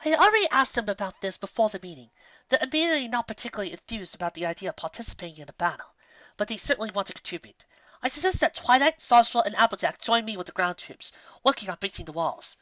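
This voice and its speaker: A man's voice: high-pitched, sing-song, quick pace, bright, forceful tone, no room sound, highs cut off, pitched above the performer's own voice, full character voice. A man voicing a refined female pony commander, polite and diplomatic, with careful genteel phrasing. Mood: poised, persuasive.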